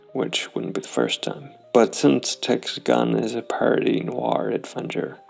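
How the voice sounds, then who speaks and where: A man narrating a solo tabletop game and thinking aloud in a thoughtful, gravelly voice. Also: lowish voice, low-quality recording. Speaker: solo role-playing game player and host.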